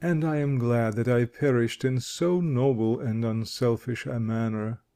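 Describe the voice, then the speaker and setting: A hollow, deep-toned scarecrow , put on by a male narrator, mourns his lost straw in a slow, dragging voice. The tone stays dark and mellow, and he sounds noble even in defeat. It comes from a storybook reading. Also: smooth, natural voice. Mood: mournful. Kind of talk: audiobook character voice.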